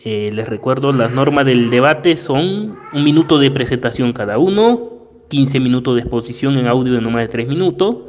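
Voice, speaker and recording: A man's voice: medium pitch, lively intonation, normal speed, a little mellow, big-room sound, slight background noise. A man moderating a religious debate in a formal, courteous manner, addressing the participants and setting out the rules. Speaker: debate moderator and channel host.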